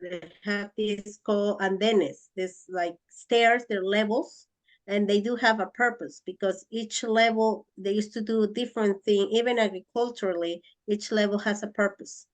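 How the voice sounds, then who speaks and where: A woman's voice: mid-range voice, fairly even intonation, normal speed, rough recording. Peruvian woman explaining in English. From Peru. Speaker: guest speaker in an English class. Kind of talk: casual explanatory chat.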